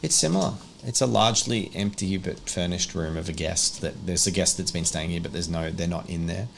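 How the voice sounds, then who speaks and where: A man's voice: low-pitched, a little fast, creaky, very noisy. A man running a horror tabletop roleplay as game master, switching between descriptive narration and casual talk about rules.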